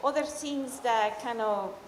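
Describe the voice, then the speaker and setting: A middle-aged woman from Peru with a Peruvian Spanish accent tells a personal story live, in an informal, halting way. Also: a little high. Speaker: amateur storyteller. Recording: echoey hall, faint background noise.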